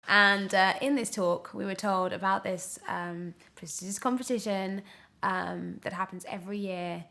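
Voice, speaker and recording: A woman in her twenties chatting casually as an interview guest, candid and animated, often correcting herself mid-thought. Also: mid-range voice, moderate pace, creaky. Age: 20s. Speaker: guest, young actor.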